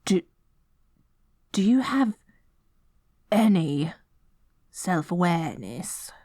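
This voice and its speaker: A woman's voice: lowish voice, big pitch swings, very slow, mellow, dry recording, clean studio sound, full character voice. Woman playing a theatrical, dorky Evil Queen. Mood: flustered, incredulous. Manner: melodramatic, flustered stammering. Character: dorky, theatrical young Evil Queen villainess, secretly kind-hearted. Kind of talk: scripted ASMR audio roleplay.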